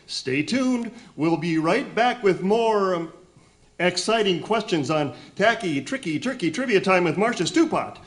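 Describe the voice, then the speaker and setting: A man's voice: sits high, animated, measured pace. A man playing a flustered, hesitant host of a trivia show in a comic radio play. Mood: flustered, covering.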